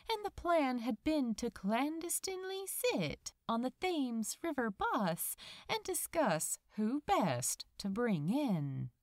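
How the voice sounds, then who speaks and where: A woman reading fiction aloud as a dramatic audiobook narration, with an expressive, lingering delivery. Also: a little high, dry recording, clean studio sound.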